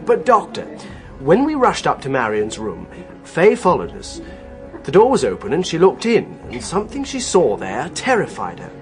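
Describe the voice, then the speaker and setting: An anxious, earnest British gentleman in a radio drama. His voice sits high for a man and moves up and down with real animation, urgent and impulsive. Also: wildly swooping pitch, moderate pace, faint music behind. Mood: puzzled, insistent.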